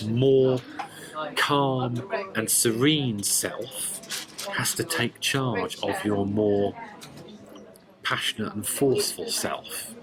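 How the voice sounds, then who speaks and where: A man explaining a tarot and numerology reading one-to-one, speaking deliberately and emphatically with pauses. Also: on the low side, poor audio.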